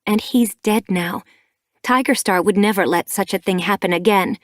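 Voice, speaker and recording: A woman's voice: mid-range voice, moderate pace, dry recording, clean studio sound, close to an everyday voice. A woman reading an audiobook, voicing an earnest, defensive mother she-cat who reasons firmly.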